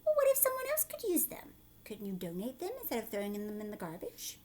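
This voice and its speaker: A woman teacher reading a picture book aloud to children, expressive, with explanatory asides and questions. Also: mid-range voice, fast, slight background noise.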